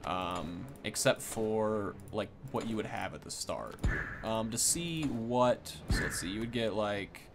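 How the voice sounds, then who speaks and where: A man's voice: on the low side, lively intonation, a little slow. A young man hosting a gaming video, thinking aloud in casual, rambling, unscripted commentary. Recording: clear background noise from game. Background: video game sounds.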